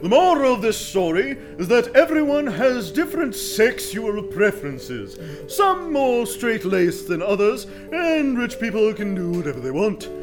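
Man playing a pompous, high-pitched smug prince. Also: very animated, measured pace, music in the background. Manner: pompous, mock-regal phrasing. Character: smug, randy young prince, formal and self-satisfied. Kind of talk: comedic stage-style radio play.

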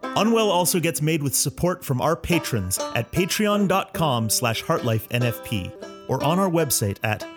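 A man's voice: fairly high pitch, animated, normal speed. A man, an executive producer, giving a scripted credits read. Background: plucked guitar music.

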